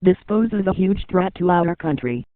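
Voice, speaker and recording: A man's voice: sits high, expressive, normal speed, no echo. A man reads a scripted emergency announcement for a TV station, with a formal, impersonal delivery. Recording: narrow phone-like band, dry.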